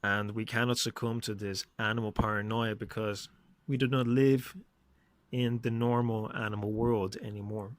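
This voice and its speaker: A man hosting a reflective solo podcast, speaking in measured phrases with emphatic stresses. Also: fairly low pitch, flat delivery, no echo. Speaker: podcast host. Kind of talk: reflective solo podcast monologue with poem reading.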